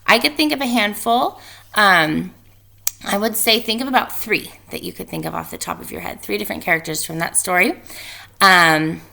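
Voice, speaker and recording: A woman's voice: mid-range voice, normal speed, vocal fry, pushed and bright. A woman reading a children's storybook aloud and talking informally to pupils like a teacher.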